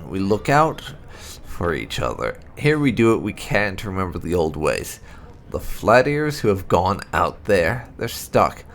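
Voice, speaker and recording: A man with a low voice narrates fantasy lore like a storybook, his pitch moving in lively swings. He reads deliberately and leans into dramatic emphasis, with a gravelly fry in his tone. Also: a little hiss or hum. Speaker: host of a game playthrough channel reading lore entries aloud. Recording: music behind the voice.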